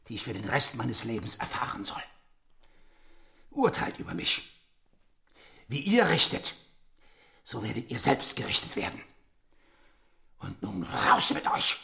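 A brooding, commanding lord of the manor in a radio drama. His voice is gravelly and sharp-edged, his delivered with imperious, sardonic force and theatrical bitterness. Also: medium pitch, expressive, a little slow, pauses often, exaggerated voice. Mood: bitter. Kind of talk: German radio play acting.

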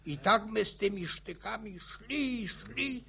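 An actor playing an old village grandfather in a radio play, spinning boastful war yarns with a gravelly, stammering, chuckling voice. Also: high voice, slow, bright, forceful tone, exaggerated voice. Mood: boastful reminiscing. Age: older. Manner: chuckling folksy yarn-spinning. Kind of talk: radio drama acting.

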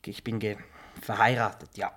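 An actor playing a nervous, boastful Swiss small-town barber in a radio drama, rambling, halting and always justifying himself. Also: male voice, fairly low pitch, flat delivery, a bit bright. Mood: defensive, smug. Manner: rambling, halting, repeats himself, keeps adding tag questions. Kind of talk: naturalistic radio drama acting.

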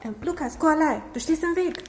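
A woman's voice: high voice, expressive, normal speed. An actress playing a busy, matter-of-fact woman in a family restaurant in a radio drama. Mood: busy, casual.

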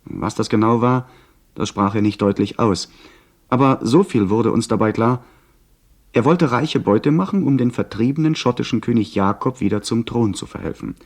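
An actor playing a young gentleman who narrates an adventure tale in a radio play. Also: mid-range voice, normal speed, lightly acted. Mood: grave, recounting. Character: young gentleman narrator recounting his abduction by pirates. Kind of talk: scripted dramatic narration, 1970s radio play.

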